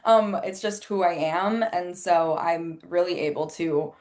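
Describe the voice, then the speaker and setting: Lowish-voiced chatty woman, spanish is her first language, astrologer guest, lots of "like". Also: measured pace, darkish tone. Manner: chatty and candid, many "like" fillers, acts out quoted remarks. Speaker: astrologer and life coach, podcast guest. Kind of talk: casual interview chat.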